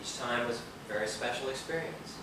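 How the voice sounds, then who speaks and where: An actor on stage playing a guarded, world-weary rebel who speaks in terse, understated replies. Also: male voice, medium pitch, brisk, recorded from a distance, lightly acted. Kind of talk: theatrical stage acting. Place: theater stage, picked up from a distance. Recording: roomy, noisy videotape of stage play.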